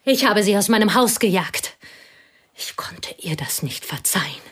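A bitter, lonely woman who pours out old betrayals in a radio play. Her voice is hushed and intense, often sinking to a whisper. It sits at a middle pitch. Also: animated, a little slow, breathy edge. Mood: bitter confession. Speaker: actress.

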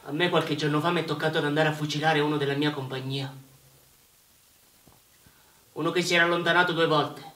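A man's voice: fairly high pitch, little pitch movement, fairly quick, long pauses, pushed and bright. An actor playing a shy, innocent, guilt-ridden young infantryman in a war drama, speaking earnestly. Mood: anguished. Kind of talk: live stage or radio war drama acting. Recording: roomy, distant microphone, music behind.

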